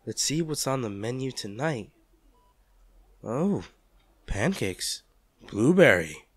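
A man's voice: mid-range voice, sing-song, takes it slowly, slightly rough, dry recording, full character voice. Man acting a devoted, protective boyfriend, close dry sound. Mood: gentle, coaxing. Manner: tender, pleading, reassuring. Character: devoted, protective male partner comforting an injured loved one. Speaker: audio roleplay performer. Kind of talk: intimate audio drama roleplay, one-sided dialogue.

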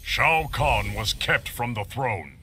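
A man's voice: medium pitch, expressive, takes it slowly, pushed and bright. A man playing a proud, stern, imperious warlord in a fantasy video game, speaking formally. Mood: defiant. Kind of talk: video game character acting. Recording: game ambience noise behind the voice.

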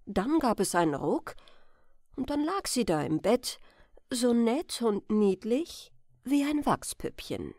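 A woman narrating a bedtime fairy tale, telling it gently and expressively as a storyteller. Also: fairly high pitch, very animated, takes it slowly, dry recording, clean studio sound. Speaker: storyteller, channel host.

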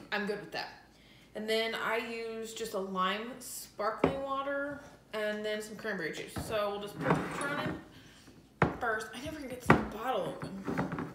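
A woman vlogging casually from her home kitchen, chatty and enthusiastic, with plenty of "like" fillers. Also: on the high side. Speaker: lifestyle vlogger. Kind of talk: casual chatty vlog talk to camera. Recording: echoey room with faint noise.

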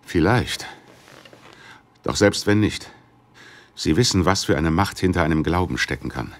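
A middle-aged man of standing, speaks in a deep voice. He is formal and measured, a worried, serious father in an audio drama. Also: lively intonation. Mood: solemn, ominous. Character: grave, worried Chinese businessman, father of missing daughter. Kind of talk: German radio play dialogue.

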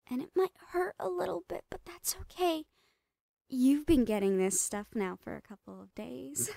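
Obsessive psycho female doctor, horror audio roleplay. Soft dark tone, unhurried, doting, drifting into whispers. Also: sits high, no echo, clean studio sound, heavily acted. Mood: creepily soothing. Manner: breathy giggling, cooing, slipping into whispers.

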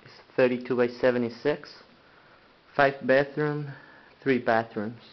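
A man giving a halting, casual walkthrough tour inside a house, filmed on a handheld camcorder. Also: mid-range voice, fairly even intonation. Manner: halting, restarts often, plain speech. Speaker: home tour guide. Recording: muffled lo-fi camcorder audio with faint noise.

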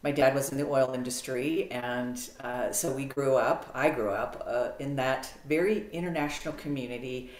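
A middle-aged American woman talking as a podcast guest on a video call, animated and clear with frequent ums. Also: very deep voice, moderate pace, few pauses. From United States. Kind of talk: casual interview storytelling. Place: home office, video call. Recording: roomy video-call audio.

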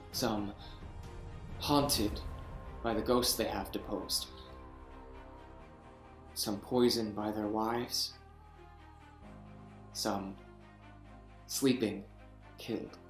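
An actor playing a proud young king in grief, reading Shakespeare verse from home over a video call, moving from hushed brooding to anguished outbursts. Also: lowish voice. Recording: roomy echo, faint music.

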